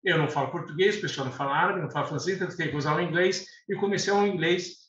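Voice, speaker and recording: A man's voice: on the high side, very fast, a bit bright. A man in his sixties, born in Lebanon with Arabic as his first language, talking unhurriedly as an interview guest, with fillers and restarts. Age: 60s. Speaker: guest, eye doctor. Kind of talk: conversational interview. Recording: echoey room, slightly dull sound, faint noise.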